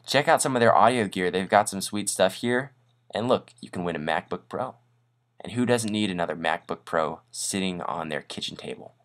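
A man hosting a casual software tutorial in an informal, upbeat, self-deprecating way. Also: low voice, moderate pace. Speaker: tutorial host. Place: voice-over on a screen recording.

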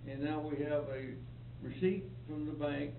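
A man's voice: fairly high pitch, unhurried, vocal fry. Hesitant man, far from the mic. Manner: hesitant, full of fillers. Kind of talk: public meeting discussion. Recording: distant, echoey, noisy, tinny audio.

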